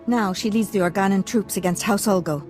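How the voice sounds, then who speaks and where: A woman's voice: medium pitch, a little fast, a little mellow, faint music behind, natural voice. Woman playing a proud, refined noble lady. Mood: composed, earnest. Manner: formal, earnest. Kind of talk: video game character acting.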